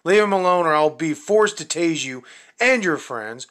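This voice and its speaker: Man acting an earnest, bright-voiced young protector. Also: a little high, lively intonation, moderate pace. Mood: stern. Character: serious, protective, optimistic young pizza restaurant worker. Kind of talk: amateur audio drama acting.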